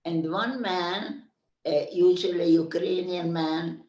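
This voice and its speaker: Slow older woman. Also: fairly low pitch, steady tone, darkish tone, far from the mic, highs cut off. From Carpathian Ruthenia. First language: Hungarian. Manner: slow, searching for words with many pauses. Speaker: interviewee. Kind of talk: oral history interview. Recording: echoey room, speaker off mic.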